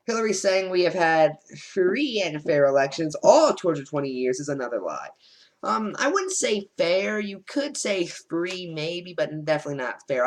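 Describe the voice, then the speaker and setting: A man casually rambling through his notes on a debate, sarcastic and animated. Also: medium pitch. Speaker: political commentator vlogger. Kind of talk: casual reaction commentary over notes.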